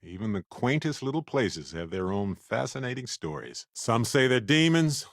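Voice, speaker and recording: A man playing a genial, wry, curious middle-aged space explorer in a video game, speaking in a conversational, expressive way. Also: mid-range voice, moderate pace, no echo, clean studio sound. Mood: reflective, musing. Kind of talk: video game character dialogue.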